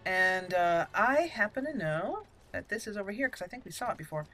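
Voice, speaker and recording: Bright-voiced playful woman gamer. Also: medium pitch, animated, fairly quick, faint music behind, poor audio. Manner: playful, chatty. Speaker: gaming video host. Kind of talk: casual let's play commentary with roleplay.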